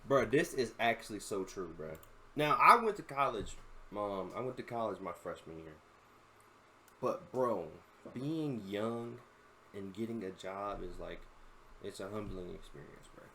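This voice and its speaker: A man's voice: fairly low pitch, expressive, unhurried, pushed and bright, a little hiss or hum. A 21-year-old man and content creator, giving casual, rambling reaction commentary full of slang and constant "bro.".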